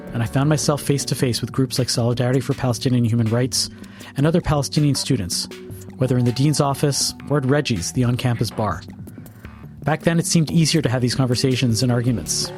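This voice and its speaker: A man, a rabbi and writer, co-hosting a podcast, narrating and asking guests questions in a measured, reflective way. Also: mid-range voice, a little mellow. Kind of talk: scripted narration and interview questions.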